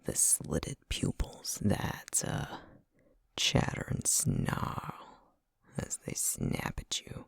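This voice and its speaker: A man playing a cocky, morbidly fascinated supernatural pest in an ASMR roleplay, breathy and husky, with a teasing, drawn-out, insinuating delivery. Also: dry recording, studio quality.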